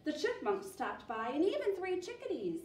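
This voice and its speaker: A woman's voice: very high-pitched, moderate pace. A woman in her thirties reading a children's picture book expressively as a storytime presenter. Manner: animated, expressive. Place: small indoor library room. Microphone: distant camera. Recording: roomy, distant mic, faint noise.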